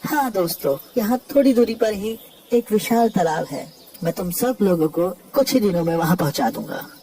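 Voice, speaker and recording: A man voicing a sly, deceitful old heron in an animated animal fable, recorded from a distance with noise behind him. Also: sits high, normal speed, heavily acted. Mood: falsely helpful. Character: sly old heron, falsely tearful and gloating. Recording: distant voice with clear background noise.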